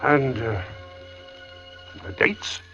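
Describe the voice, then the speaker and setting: An actor playing a plain-spoken, superstitious tombstone carver who talks haltingly in a vintage radio drama. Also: male voice, medium pitch, wildly swooping pitch, fairly bright tone, full character voice. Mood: puzzled, uneasy. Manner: halting, muttering, slow. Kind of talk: 1940s radio drama acting. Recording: dull old radio recording with music.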